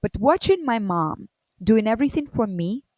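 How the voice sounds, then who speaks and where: A woman from the former Soviet Union, telling her personal story as a guest in a loud, energetic and expressive way. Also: a little high, normal speed, no room sound.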